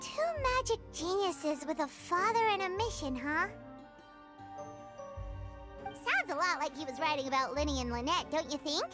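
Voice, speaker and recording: A woman voicing an excitable little female companion creature who speaks of herself in the third person, in game dialogue. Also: high-pitched, normal speed, long pauses. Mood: curious. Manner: talks about herself in the third person, eager and curious. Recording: game audio with loud music behind.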